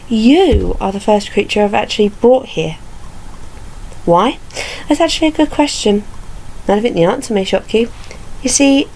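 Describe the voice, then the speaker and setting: Creaky-voiced woman as a taunting vampire hunter. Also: a little high, warm tone, poor audio. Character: vengeful, taunting female vampire hunter and ex-investigator. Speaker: ASMR roleplay performer. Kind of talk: ASMR roleplay monologue as a vampire hunter.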